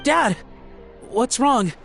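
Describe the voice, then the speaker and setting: A woman's voice: high-pitched, lively intonation, drawn-out words, a bit bright, faint music behind, exaggerated voice. A woman playing an earnest, worried youngster, the child of a chef, in a video game. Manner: eager, polite, concerned.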